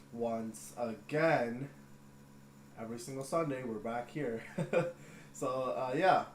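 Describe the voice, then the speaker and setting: Rambling excitable man in an echoey room. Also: medium pitch. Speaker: livestream host. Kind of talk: casual livestream chat. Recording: echoey room with faint noise.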